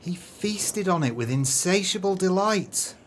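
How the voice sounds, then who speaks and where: A man's voice: high voice, lively intonation, unhurried, slight background noise. A man playing a haughty, easily exasperated crooked businessman in an audio play, speaking in a pompous, florid way. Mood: frustrated fury.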